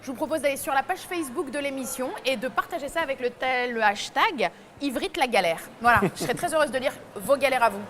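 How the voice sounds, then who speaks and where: A young woman columnist on a talk show, telling funny stories in a lively way and laughing often. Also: sits high, fast talker, slight background noise. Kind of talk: comic chronicle on a talk show.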